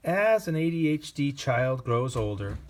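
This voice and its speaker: A man reading a children's picture book aloud with gentle, expressive storytelling. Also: mid-range voice, measured pace, a little hiss or hum. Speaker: reader.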